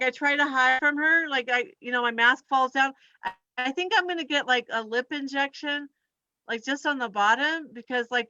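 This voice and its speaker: A woman doing a conversational stand-up comedy set in a halting, scattered, giggly way. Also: on the high side, sharp tone, no room sound, muffled recording. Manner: halting and scattered, giggles through own remarks.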